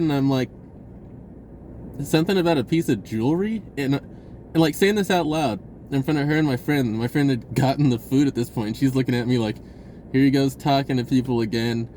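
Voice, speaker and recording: Guy with a kinda high voice just rambling thru a story, slangy, does the dialogue bits, some noise behind him. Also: a little mellow. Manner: informal slangy speech, acts out dialogue. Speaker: vlogger recounting personal experiences.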